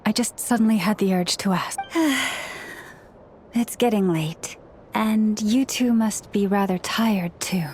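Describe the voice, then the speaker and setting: A woman playing a gentle, solemn priestess in a video game, speaking softly in formal, measured phrases over background music. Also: mid-range voice, a little hiss or hum, close to an everyday voice. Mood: hesitant, tender. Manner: formal, measured, soft-spoken. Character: gentle, solemn, courteous priestess of a moon order.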